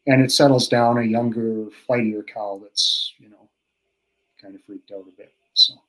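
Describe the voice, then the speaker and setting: A man's voice: medium pitch, fairly even intonation, normal speed, a bit bright, rough recording. A middle-aged man, a cattle expert, explaining calving in a casual, practical way over a video call from a room at home, with many fillers and restarts. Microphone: video call webcam.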